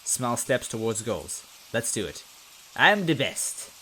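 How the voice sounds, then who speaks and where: A man's voice: mid-range voice, very animated, takes it slowly, bright, forceful tone, a little hiss or hum, full character voice, poor audio. A man voicing a timid, anxious detective from a story, hesitant and self-doubting yet earnest. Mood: self-encouraging. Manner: hesitant, stammering.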